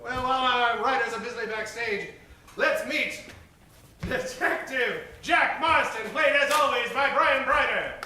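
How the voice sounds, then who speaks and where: A man's voice: very high-pitched, unhurried, pushed and bright, slight background noise. A man hosting and narrating a radio comedy show in a grandiose, dramatic old-time announcer style. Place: live stage performance, roomy. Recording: echoey stage from far off, music behind.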